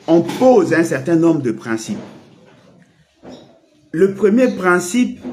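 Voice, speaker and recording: A man's voice: fairly high pitch, darkish tone, a little hiss or hum. A man giving explanatory political and legal commentary in an emphatic, didactic way, repeating key points.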